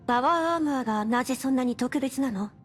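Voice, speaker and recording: A woman's voice: sits high, very fast, soft background music, close to an everyday voice. A synthetic woman's voice playing a young survivor heroine in anime-style game dialogue. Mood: curious. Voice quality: synthetic AI-generated voice.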